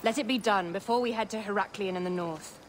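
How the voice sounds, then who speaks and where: A woman's voice: mid-range voice, quick pace, a little hiss or hum, natural voice. An actress playing an imperious, cold young queen who styles herself a living goddess, speaking in a regal, grandiose way in a video game. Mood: cold, commanding. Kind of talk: dramatic video game acting.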